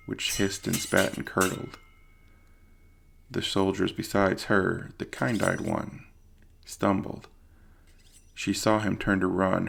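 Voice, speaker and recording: A man with a deep, husky, gravelly voice narrating an audio drama in a grave, literary storytelling manner. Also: a little hiss or hum.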